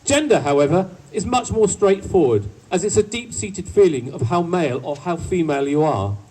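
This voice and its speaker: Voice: mid-range voice, lively intonation, moderate pace, warm tone, far from the mic, a little hiss or hum, rough recording. A speaker giving a protest speech to a crowd in a town square, with playful asides to the audience. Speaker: rally speaker. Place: outdoor town square rally. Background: faint crowd.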